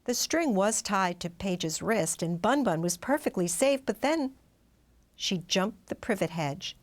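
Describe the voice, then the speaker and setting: A woman reading a children's storybook aloud in expressive, dramatic narration, drawing out some words. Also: medium pitch, measured pace. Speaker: storybook reader.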